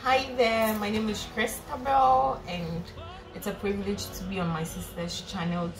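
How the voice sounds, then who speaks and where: A woman's voice: mid-range voice, moderate pace, over music, rough recording. A young woman from Ghana, a guest, chatting playfully in a living room. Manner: playful, animated. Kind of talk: casual chat.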